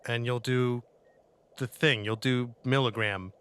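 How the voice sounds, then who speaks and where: A man chatting casually as a player in a tabletop game, improvising in a halting way. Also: mid-range voice, fairly even intonation, a bit bright, clear, resonant tone.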